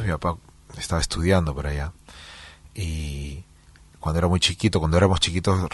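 A middle-aged man from Peru, a musician giving interview answers in a relaxed storytelling way, with many fillers and restarts. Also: low-pitched, no room sound. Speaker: musician guest.

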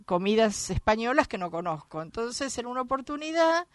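A woman's voice: on the high side, very animated, moderate pace, no room sound, studio quality. A woman from Argentina with a Rioplatense accent, hosting a radio chat and telling anecdotes in a chatty way. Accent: Argentine Rioplatense Spanish. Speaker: radio host.

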